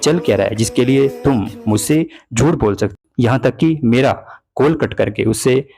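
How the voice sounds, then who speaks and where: A man plays a young, jealous boyfriend in a breakup drama, speaking in a middle-pitched voice. He reproaches and pleads with his girlfriend, sounding wounded. Music plays behind him. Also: normal speed, warm tone, lightly acted. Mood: bitter. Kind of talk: dramatized breakup phone conversation in Hindi. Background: background music.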